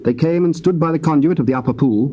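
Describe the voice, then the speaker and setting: A man with a British accent narrating scripture in a solemn, measured and formal way. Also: on the high side, dark tone. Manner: measured, formal, deliberate phrasing. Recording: old cassette with faint hiss.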